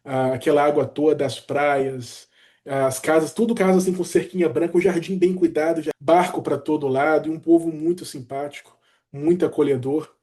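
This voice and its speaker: A man, telling his own story in an easygoing, animated way, often dropping "cara" and "né". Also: fairly high pitch, moderate pace. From Brazil. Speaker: doctor sharing his career story. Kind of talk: casual personal vlog talk.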